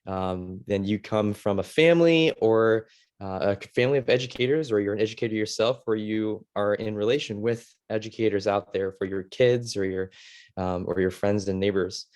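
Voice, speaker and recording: Middle-aged American male presenter, lower register, informal webinar delivery, hesitant and conversational. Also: darkish tone. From Ohio, United States. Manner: casual, halting, filler-heavy. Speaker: former science teacher presenting a webinar. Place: video call.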